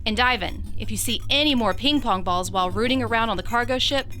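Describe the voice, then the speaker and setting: A woman's voice: on the high side, quick pace, bright, forceful tone. An actress playing a spirited, teasing female space station crew member in a sci-fi audio drama. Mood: teasing, keen.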